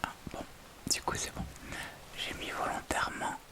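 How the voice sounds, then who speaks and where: A woman whispering softly and informally through an ASMR makeup tutorial, with hesitant, unhurried phrasing. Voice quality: soft unvoiced whisper. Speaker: ASMR video creator.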